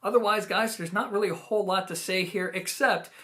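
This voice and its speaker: A man's voice: high-pitched, fairly quick, bright, forceful tone. A man hosting a product review, explaining things conversationally to viewers with emphatic, personal opinions. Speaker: audio gear reviewer and host. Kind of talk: video product review, explanatory talk to camera.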